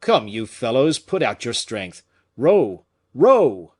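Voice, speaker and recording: A man's voice: mid-range voice, very animated, takes it slowly, husky, voice pushed up, full character voice. A man reading an audiobook, voicing a timid, deferential river boat skipper.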